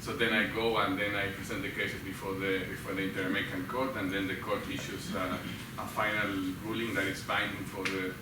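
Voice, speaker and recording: A man with a Guatemalan Spanish accent and a lowish voice answers interview questions casually. His speech is halting, with words repeated. He sounds far from the mic in a heavily echoing, noisy venue. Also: flat delivery. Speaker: interview guest, human rights lawyer. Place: live show venue with an audience of about fifty. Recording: roomy echo, distant mic, loud background noise.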